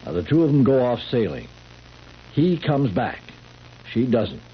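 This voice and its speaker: An actor playing a skeptical, methodical sheriff in 1970s radio drama, pointed and deliberate. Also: male voice, medium pitch, big pitch swings. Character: skeptical, methodical male sheriff questioning a suspect. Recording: dull, noisy 1970s radio recording.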